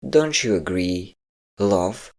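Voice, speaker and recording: Deep-voiced, smug vampire lord played by a man. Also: expressive, darkish tone, exaggerated voice. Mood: controlling, pleased. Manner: smug, teasing, drawn-out endearments. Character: possessive, mocking male vampire lord, obsessive lover. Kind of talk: intimate audio roleplay acting.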